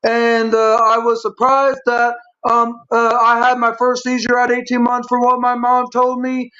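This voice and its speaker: A man speaking as a talk show guest with a stutter, explaining himself in a halting, repetitive, long-winded way. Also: extremely high pitch, completely flat delivery, bright, forceful tone. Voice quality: stuttering speech impairment. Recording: dull, lo-fi stream audio.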